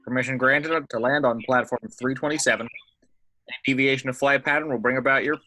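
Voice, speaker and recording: Guy doing strict space traffic officer, sharp forceful voice, super dry sound, formal threats, clipped. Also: medium pitch, fairly even intonation, a little fast, no room sound, lightly acted. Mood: stern warning. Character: stern, threatening official over a starship intercom. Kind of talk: dramatic table read of a film script.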